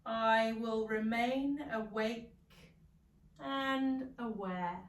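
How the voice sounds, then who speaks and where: A woman leading a guided meditation as a yoga nidra guide, calm, soothing and gentle, leaving long pauses. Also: on the high side. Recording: roomy echo, distant from the mic.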